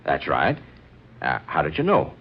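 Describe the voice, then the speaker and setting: Casting: blustery, stammering young know-it-all, very low voice, animated delivery, muffled vintage radio drama sound. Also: male voice, unhurried, gravelly, husky, full character voice. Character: brash, flustered young man, bookish engineering type from the city. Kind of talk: old-time radio drama acting. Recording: muffled, hissy old radio recording.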